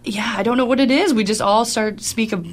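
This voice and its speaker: A woman's voice: fairly high pitch, fast talker. A woman hosting a radio talk show, asking long rambling questions in a conversational way.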